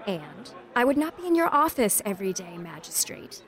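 A woman's voice: medium pitch, sing-song, moderate pace, some chatter behind, natural voice. Headstrong, formal young noblewoman played by an actress. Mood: firm, insistent. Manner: formal, resolute. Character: headstrong young noblewoman traveling to find her husband. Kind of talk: full-cast audio drama acting.

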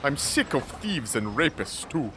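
An actor playing a sardonic, world-weary desert soldier in an audio drama, with dry and ornate phrasing. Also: male voice, medium pitch, sing-song, a little slow, pushed and bright, slight background noise. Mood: wry.

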